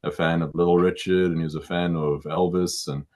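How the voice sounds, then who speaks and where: Very deep-voiced halting baritone man. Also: little pitch movement, a little mellow. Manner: halting, repeats words while thinking. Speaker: musician interviewee. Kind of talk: casual interview talk.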